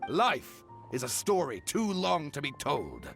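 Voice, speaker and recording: A man's voice: on the high side, wildly swooping pitch, measured pace, vocal fry, a bit bright, soft background music, full character voice. A man voicing a poetic storyteller in a video game, declaiming an old legend theatrically. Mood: dramatic, wistful.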